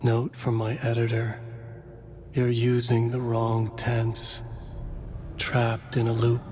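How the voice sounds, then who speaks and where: A man's voice: mid-range voice, monotone, takes it slowly, darkish tone, slight background noise, close to an everyday voice. A man playing a brooding, cryptic figure, reciting detached fragments in a noir-like video game monologue.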